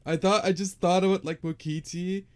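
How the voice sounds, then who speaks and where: A man's voice: high-pitched, fairly even intonation, normal speed. Casual man with faint noise behind him. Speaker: podcast co-host. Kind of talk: casual chat.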